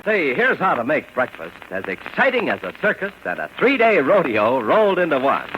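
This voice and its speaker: A man's voice: fairly high pitch, wildly swooping pitch, normal speed, a crowd in the background. An American man reading a scripted breakfast commercial in an old-time radio advertising style. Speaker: commercial announcer. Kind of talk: vintage radio advertising pitch. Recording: lo-fi old radio, faint noise.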